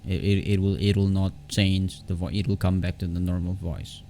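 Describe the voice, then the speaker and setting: A low-pitched man walks through a software tutorial off the cuff. His voice has a gravelly creak, and he halts and repeats phrases as he goes. There is clear background noise on the recording. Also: level tone. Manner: halting, repetitive, often restarting phrases. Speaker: tutorial host.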